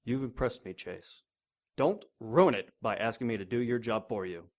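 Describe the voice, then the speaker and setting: A man's voice: mid-range voice, lively intonation, normal speed, a little mellow, natural voice. A man playing a shady, rich older businessman, curt and imperious, in a 1930s noir audio drama. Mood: stern.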